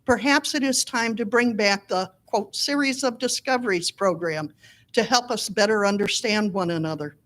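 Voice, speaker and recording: A middle-aged woman presents herself as a council candidate in a prepared speech. Her voice is of medium pitch for a woman. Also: moderate pace, a bit bright.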